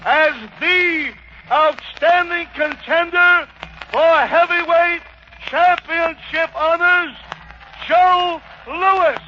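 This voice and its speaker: A man calls out a ring introduction at a very high pitch, stretching every phrase extremely slowly. The vintage radio sound is dull and noisy. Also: fairly even intonation. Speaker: ring announcer. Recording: distant, noisy, dull 1930s radio pickup.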